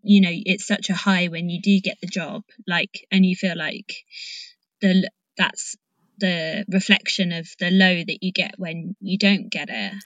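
A woman's voice: on the low side, steady tone, a little slow, fairly bright tone, no echo, dull audio. A woman hosting a casual conversation, engaged and encouraging as she probes with questions and reflections. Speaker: podcast host.

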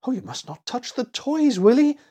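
A sweet, timid little girl from a storybook, played by a man. The voice is pitched high and a little breathy, with an earnest, tender delivery. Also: unhurried, darkish tone, full character voice. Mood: frightened.

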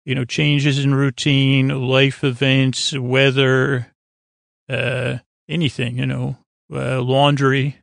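A man with a creaky voice hosting a sleep podcast, talking in a halting, rambling, gently goofy way that drifts into repetition and wordplay. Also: mid-range voice, level tone, no room sound, clean studio sound. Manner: meandering, halting, repeats and trails off into wordplay. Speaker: podcast host. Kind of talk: rambling bedtime talk to help listeners fall asleep.

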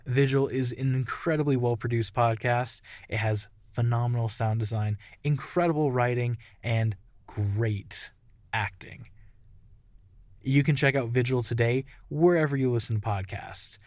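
A man's voice: mid-range voice, a little slow, a little mellow, no echo. A man presenting a podcast with a scripted promotional read.

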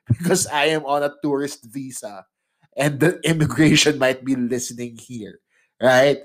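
A man from the Philippines hosting a podcast, chatty and self-mocking as he introduces his guests. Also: fairly high pitch. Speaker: podcast host.

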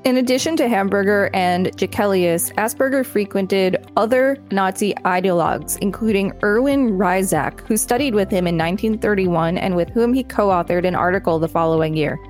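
A woman co-host reads an article aloud in a steady, even manner at a middle pitch. Playful quips slip in between passages. Faint music plays behind her. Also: normal speed, hardly any pauses. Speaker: podcast co-host reading aloud.

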